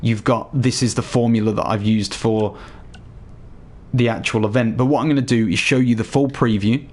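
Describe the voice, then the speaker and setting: A man with a slightly hoarse voice chatting casually to viewers while walking them through a game showcase. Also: medium pitch, fairly even intonation, moderate pace, a little mellow. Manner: chatty, addresses viewers directly. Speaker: gaming YouTuber. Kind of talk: casual game tutorial voice-over.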